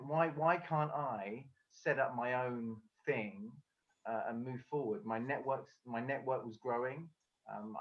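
A man's voice: mid-range voice, lo-fi sound. A man from England, a speaker coach, talking casually as a podcast guest over a video call, with many fillers and restarts. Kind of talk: casual conversational interview.

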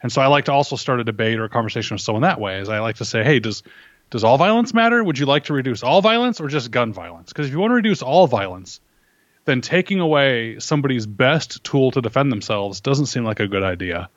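A man being interviewed as a firearms instructor, explaining his opinions in a measured, argumentative way and posing rhetorical questions. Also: medium pitch, expressive, no echo, highs cut off.

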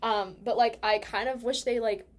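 Young american woman, kinda high voice, just chatting casually, says like a lot. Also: moderate pace. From United States. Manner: chatty, animated storytelling with heavy use of "like". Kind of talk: casual personal conversation.

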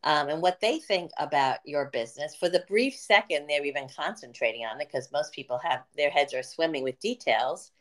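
A woman's voice: deep voice, moderate pace, hardly any pauses, a bit bright. A female business coach hosting a podcast, explaining marketing earnestly with a chatty, um-filled delivery. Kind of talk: casual podcast chat.

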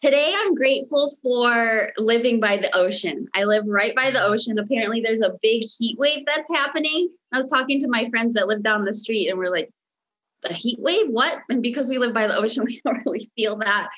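A young American woman, Romanian as first language, telling her story as a podcast guest with warm enthusiasm. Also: a little high, fairly quick, dull audio. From United States. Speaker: podcast guest, mindset coach. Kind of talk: casual interview chat. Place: home in Los Angeles, remote call.

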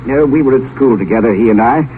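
An actor playing a genial, urbane older former scholar, reflective and courteous, in a 1950s radio drama. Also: male voice, mid-range voice, close to an everyday voice. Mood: wry, reflective. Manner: reflective, courteous, hesitant pauses. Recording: narrow-band, noisy vintage radio broadcast.

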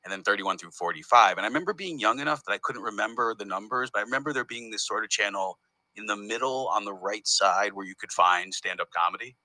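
Brief: low, bright-toned male comedian, meandering and chatty, dry close sound. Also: quick pace, no room sound, low-quality recording. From United States. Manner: rambling, self-interrupting storyteller. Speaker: comedian guest. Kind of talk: casual interview conversation.

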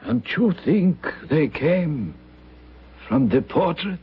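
Elderly radio-drama priest: very husky, high for a man, solemn and measured, muffled vintage broadcast. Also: very animated, heavily acted. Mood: grave, inquiring. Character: elderly, grave Spanish village priest, gently cautioning. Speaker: actor. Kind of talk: old-time radio drama acting.

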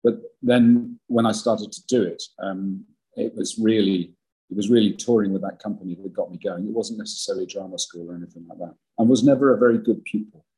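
A man's voice: fairly low pitch, fairly even intonation, brisk, warm tone, breathy edge, low-quality recording. An older man, an actor, talking in a podcast interview, rambling and self-deprecating with many restarts and a big voice. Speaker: actor guest.

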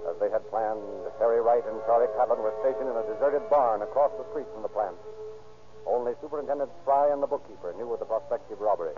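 An actor as the formal, factual narrator of a scripted 1930s radio crime drama. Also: male voice, medium pitch, quick pace, lightly acted. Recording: tinny old radio recording, music behind. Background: music.

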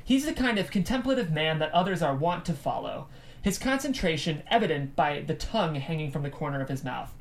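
A woman's voice: deep voice, sing-song, brisk. A woman reading stage directions as scripted narration at a table read.

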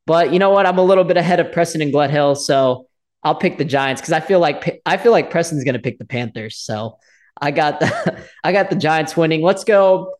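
A congested man with a slightly high voice talks through his football picks. He hesitates and restarts his sentences as he goes. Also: fairly quick. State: congested from allergies. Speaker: podcast co-host. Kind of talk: casual sports chat.

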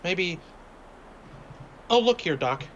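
A man's voice: high-pitched, expressive, slow pace, a bit bright, full character voice. A man playing a nervous, superstitious small-town sidekick in old-time radio horror style, folksy, stammering and pleading. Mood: uneasy. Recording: noisy, dull homemade radio drama recording.

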